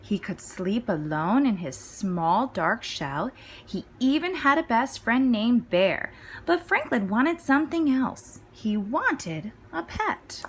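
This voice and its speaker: A woman reading a children's story aloud as scripted narration, with clear, expressive phrasing. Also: on the high side, slow pace. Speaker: storybook reader.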